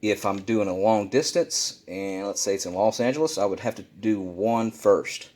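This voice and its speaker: A man's voice: lowish voice, moderate pace, very noisy. A man presenting a casual how-to demonstration indoors, explaining things plainly and repeating himself for clarity. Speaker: instructor, video presenter. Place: indoor room at a desk.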